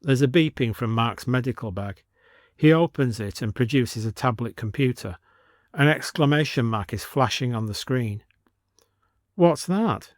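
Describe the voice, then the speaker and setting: A male narrator reads a scripted audio story. He is measured and descriptive, with a mid-pitched voice recorded close and dry. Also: no echo.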